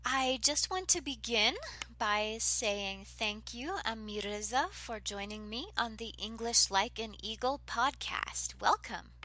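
A woman hosting an English learning podcast, speaking in a gentle, measured and encouraging way as she introduces and interviews a guest. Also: a little high. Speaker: podcast host. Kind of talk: scripted intro and interview questions.

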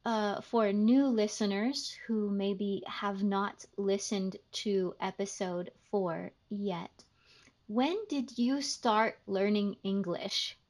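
A woman hosting an English-learning podcast, speaking slowly and clearly like a teacher interviewing a learner. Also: mid-range voice, fairly even intonation, darkish tone. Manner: slow, carefully enunciated teacher talk.

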